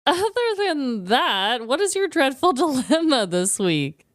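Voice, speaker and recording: A woman's voice: sits high, very animated, measured pace, dry recording, studio quality. A woman co-hosting a podcast with casual, comedic banter and a giggly, reactive manner. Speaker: podcast co-host.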